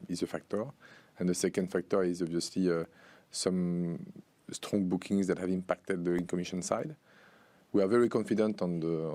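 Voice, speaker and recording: A man answering investor questions as a finance executive on an earnings call, speaking in halting phrases with many pauses. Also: deep voice, gravelly, dark tone, no room sound. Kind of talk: earnings call Q&A, unscripted explanation.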